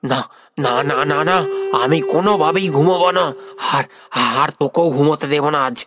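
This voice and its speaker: A man playing a terrified, haunted young man in an animated horror story, stammering and repeating himself in panic. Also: on the high side, a little fast, natural voice. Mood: panicked, pleading.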